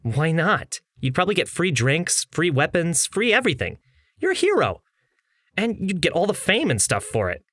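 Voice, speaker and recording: An actor playing an eager, playful young male gamer swordsman in an audiobook, casual and excitable. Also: fairly high pitch, normal speed, no room sound, clean studio sound, exaggerated voice. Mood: curious, eager.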